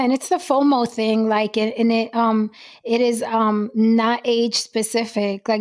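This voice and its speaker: A woman hosting a casual live-stream chat, slightly hoarse from losing her voice, blunt and outspoken. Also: medium pitch, darkish tone. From New York. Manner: blunt, outspoken, swears freely. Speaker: host. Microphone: desk mic on a live stream.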